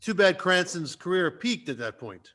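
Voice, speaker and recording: A man's voice: high voice, very animated, moderate pace, fairly bright tone. A man chatting casually and jokily as an actor on a reunion panel. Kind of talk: casual, jokey panel chat.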